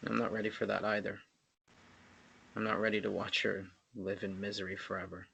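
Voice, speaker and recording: A man playing an earnest, stubborn young man in a dramatic screenplay reading, intense and halting as he pleads. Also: lowish voice, flat delivery, gravelly, pushed and bright, a little hiss or hum, low-quality recording. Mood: grim, resolved. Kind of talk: dramatic table read of a romance screenplay.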